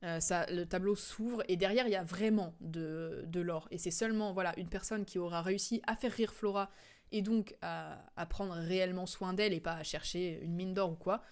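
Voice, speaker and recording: A woman's voice: lowish voice, fairly even intonation, normal speed. A young adult woman giving casual, rambling game commentary as a streamer, in informal, slangy speech.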